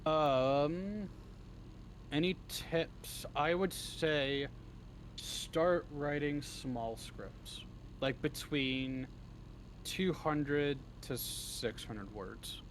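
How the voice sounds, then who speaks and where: A man's voice: fairly high pitch, drawn-out words, low-quality recording. A man speaking as a guest in a casual interview, with a laid-back, joking manner. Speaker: guest, hobby script writer. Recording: faint background noise on stream.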